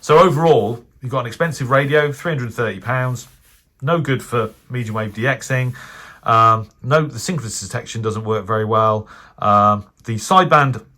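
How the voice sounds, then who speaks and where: A British man giving an unscripted product review as a hobbyist host, speaking in a frank, hesitant and measured way. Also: mid-range voice, pushed and bright. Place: indoors, off camera at a desk.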